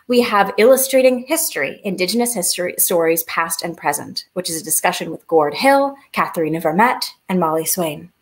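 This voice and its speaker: A young woman hosting an online festival, reading scripted announcements in an upbeat way from a video call at home. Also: lowish voice. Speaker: festival host. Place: living room at home.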